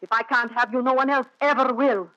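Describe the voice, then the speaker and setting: A woman's voice: on the high side, fairly even intonation, a little fast, sharp tone, dry recording. A woman playing a jealous, possessive, menacing wife in an intense, theatrical 1940s radio thriller style. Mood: furious, possessive. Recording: dull vintage 1940s radio recording.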